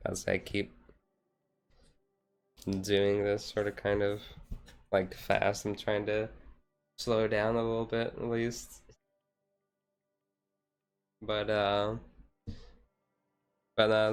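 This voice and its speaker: A man streamer chatting casually in a relaxed, rambling way on a chill hobby stream. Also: lowish voice, smooth.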